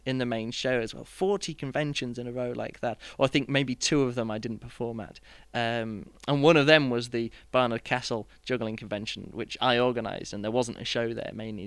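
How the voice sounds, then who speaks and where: A man with a clean, smooth voice talks casually as a podcast host. He rambles and hesitates, often starting his sentences over. The sound is dry, with very little room to it. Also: medium pitch, fairly bright tone, no echo. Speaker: podcast host and juggling performer. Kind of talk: casual podcast chat, reviewing his year.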